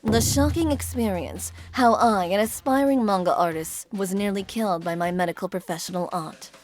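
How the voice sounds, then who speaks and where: A woman playing a composed, coolly vengeful young older sister in a manga dub, speaking deliberately and resolutely. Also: medium pitch, over loud music, close to an everyday voice. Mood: determined. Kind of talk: anime-style manga dub acting.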